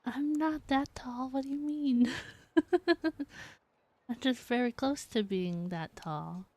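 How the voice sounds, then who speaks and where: A woman's voice: a little high, slow pace, a little mellow, no room sound. A woman streaming a game who chats casually with viewers, giggling often and saying "like" a lot. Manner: chatty, giggly, lots of "like". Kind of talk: casual live-stream chat. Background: faint game music.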